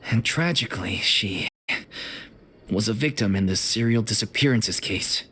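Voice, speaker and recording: A husky, weary troupe manager for a video game. He is serious and devoted, with very expressive swings of pitch in an earnest, measured delivery. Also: exaggerated voice. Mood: sorrowful, resigned.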